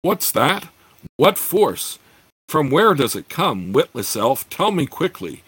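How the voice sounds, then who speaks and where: A man voicing a fussy, imperious male dwarf lord who scolds his servant in a high, shrill voice. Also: big pitch swings, slow pace, a bit bright, slightly rough, no room sound, exaggerated voice. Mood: scolding.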